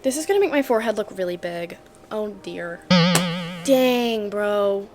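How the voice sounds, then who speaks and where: A woman's voice: mid-range voice, animated, unhurried, a little mellow, soft background music. A twenty-year-old woman talking casually to camera at home in a chatty vlog, joking and full of fillers. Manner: bubbly, joking, filler-heavy. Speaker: vlogger.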